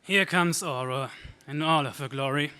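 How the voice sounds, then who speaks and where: An actor playing an eager, earnest young space hero in a radio serial. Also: male voice, fairly high pitch, moderate pace, pushed and bright, clear, resonant tone. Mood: excited. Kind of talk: 1930s space serial radio play acting.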